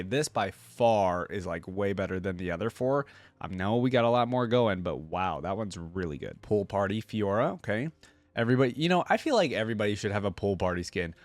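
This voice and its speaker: A man's voice: on the low side, no echo. A man hosting a reaction video with casual commentary, slangy and informal, thinking aloud as he goes.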